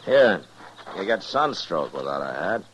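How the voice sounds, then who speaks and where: An American actor playing a steady, decent frontier marshal in an old-time radio western, speaking in a plain, understated, folksy way. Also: male voice, low-pitched, measured pace, exaggerated voice. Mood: firm, dry. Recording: noisy vintage radio recording.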